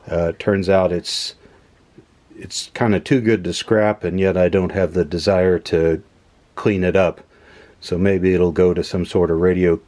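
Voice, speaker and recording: A man with a low voice talks through things in a casual, explanatory way. He often pauses mid-phrase, as if working it out while he speaks. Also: darkish tone. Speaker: host of a vintage electronics repair channel. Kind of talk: casual explanatory hands-on commentary.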